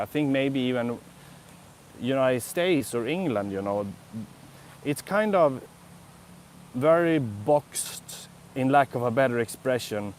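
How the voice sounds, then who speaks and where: A man's voice: medium pitch, a little mellow, a little hiss or hum. A young Swedish man with a Swedish accent, chatting casually on a live stream in a city park, musing and answering viewers' comments. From Sweden. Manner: rambling, reflective, answers chat comments. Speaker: vlogger.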